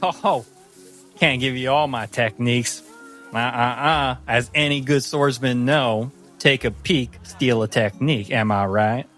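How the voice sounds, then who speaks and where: A man's voice: mid-range voice, unhurried, fairly bright tone, soft background music, natural voice. Man voicing a tiny, cheerful, earnest little swordsman. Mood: gracious, proud. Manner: cheerful, earnest, chivalrous, rambling.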